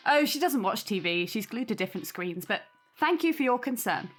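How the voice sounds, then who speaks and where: Friendly young British mum, high voice, polite, reassuring, naturalistic audio drama. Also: female voice, animated, a little fast, fairly bright tone. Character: Taylor, friendly hospitable young mother hosting Christmas.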